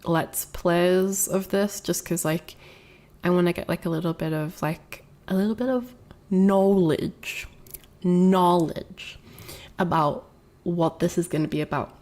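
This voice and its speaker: A woman's voice: lowish voice, steady tone, a little slow, darkish tone. A young adult woman streamer chatting casually with her viewers, rambling with lots of fillers, sounding congested from a cold. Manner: rambling, many fillers and restarts. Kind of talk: casual chat with chat.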